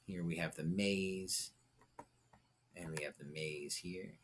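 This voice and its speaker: A man's voice: sits low, steady tone, slow, noisy background. A man teaching a lesson, thinking aloud and coaching step by step with short check-in questions. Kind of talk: instructional lesson, thinking aloud through a writing example.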